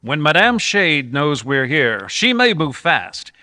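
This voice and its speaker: A man plays a decisive radio adventure hero, bright and forceful, with animated pitch. He snaps out brisk orders in live stage drama, recorded with a long hall reverb. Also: clean studio sound, full character voice. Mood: commanding, urgent. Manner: brisk, clipped commands. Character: commanding, decisive adventurer hero leading his young team. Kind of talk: live old-time radio adventure acting.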